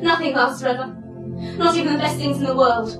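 Young woman playing an imperious, high-voiced controlling director. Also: fast, natural voice. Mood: cold, possessive. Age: young adult. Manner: imperious, emphatic. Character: controlling, bitter female director toying with her characters. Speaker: stage actor. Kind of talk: theatrical stage acting, heated dialogue. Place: dark theatre stage, indoors. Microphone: far camera. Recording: echoey stage, distant camera microphone, music behind.

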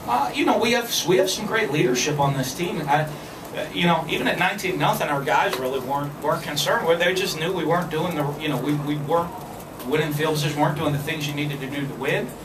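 A man in his forties, a football coach answering postgame questions at a press conference, speaking in a measured way with many fillers and restarts. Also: on the high side. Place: press room with backdrop. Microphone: podium mic, filmed from a distance. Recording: echoey room, far from the camera, background noise.

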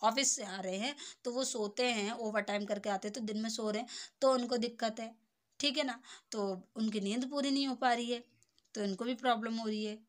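Young woman, kinda high voice, casual tutor explaining stuff and checking you get it. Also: fairly even intonation, fairly quick. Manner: explanatory, repeatedly checking understanding with tag phrases. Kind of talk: informal lesson explaining a handwritten letter.

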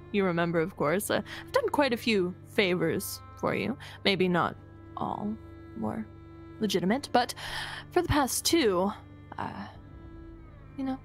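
A sly, smug witch, played by a woman in a game roleplay, speaks at a middling pitch with music underneath. She is coy and hesitant, drawing out her teasing phrases. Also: animated, slow. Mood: sly, bargaining. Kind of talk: improvised game roleplay acting. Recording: music behind the voice. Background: background music.

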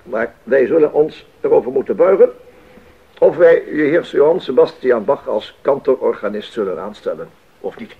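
A man playing a formal, deliberate rector chairing a council in a radio play, speaking in a measured way. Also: medium pitch, animated, husky, phone-quality sound. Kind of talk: 1980s Dutch radio play acting.

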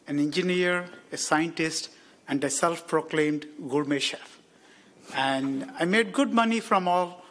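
A man's voice: on the high side, fairly bright tone. A middle-aged man, an engineer introducing himself with light humor on a conference panel into a table microphone. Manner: light, self-deprecating humor. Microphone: table mic on stand.

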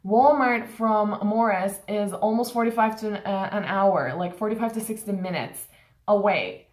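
A woman's voice: medium pitch, normal speed. Young woman, from Turkey, casual vlog rant, lots of "like", camera on a table, echoey room. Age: young adult. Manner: frank, filler-heavy, exasperated complaining. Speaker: college student vlogger. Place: small room indoors.

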